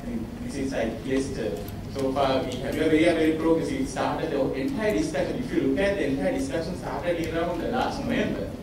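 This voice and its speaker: A man giving a briefing at a business lunch, speaking haltingly with many uh fillers. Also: a little high. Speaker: industry association representative. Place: hotel conference room. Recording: echoey hall from far off, noisy.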